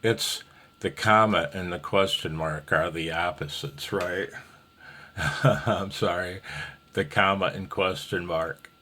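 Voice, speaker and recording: A man's voice: low voice, steady tone, slow pace, bright, forceful tone, a little hiss or hum. A man giving a casual, rambling amateur radio tutorial in a folksy, self-deprecating, slightly nervous way.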